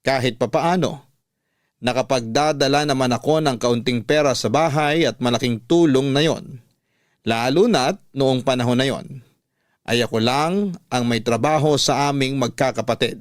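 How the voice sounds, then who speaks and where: A man's voice: mid-range voice, moderate pace, no room sound, clean studio sound. A man reading a first-person life story as a radio storyteller, in scripted dramatic narration.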